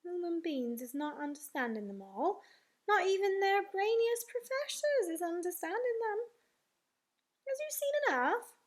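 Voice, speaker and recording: A woman's voice: very high voice, sing-song, measured pace, pitched above the performer's own voice, heavily acted. A woman voicing a kindly storybook giant with folksy, muddled grammar. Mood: musing, earnest. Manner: folksy, ungrammatical phrasing.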